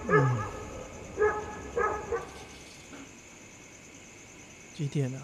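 A man's voice: medium pitch, fairly even intonation, fairly quick, very noisy, natural voice. A man playing a young university student, a sulky but caring grandson who mutters expressively to himself in an everyday radio drama. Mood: drowsy, musing. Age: young adult. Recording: music under the voice. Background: new-age music.

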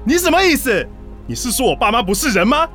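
A hot-headed young man with a Taiwanese accent snaps and taunts in a radio drama. His pitch is high and very lively, with music faintly behind him. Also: fast talker, full character voice. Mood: defensive, furious. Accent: Taiwanese Mandarin. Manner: defensive, aggressive, taunting. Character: short-tempered, defensive young boyfriend devoted to his parents.

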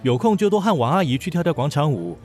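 A man's voice: on the high side, sing-song, quick pace, darkish tone, faint music behind. Aloof, curt young male boss. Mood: exasperated. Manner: curt, cool, exasperated. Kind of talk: modern romantic comedy radio drama acting.

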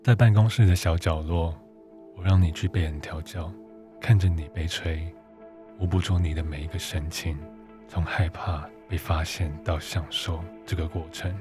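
A man playing a seductive, controlling narrator who speaks in measured, insinuating monologue. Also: low voice, fairly even intonation, music in the background, natural voice. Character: seductive, knowing adult male narrator, provocative, controlling. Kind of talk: audio drama monologue.

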